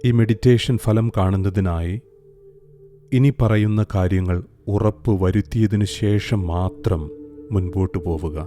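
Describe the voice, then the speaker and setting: A man leads a guided meditation in a very low, mellow voice, speaking slowly with long pauses between soft instructions. Gentle music and a singing bowl sit faintly behind him.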